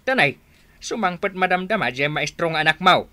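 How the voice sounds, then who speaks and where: A woman's voice: lowish voice, lively intonation, moderate pace, sharp tone, dry recording. A woman playing a plain-spoken middle-aged villager in a radio drama, matter-of-fact and mildly chiding. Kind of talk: radio drama acting in rural family comedy.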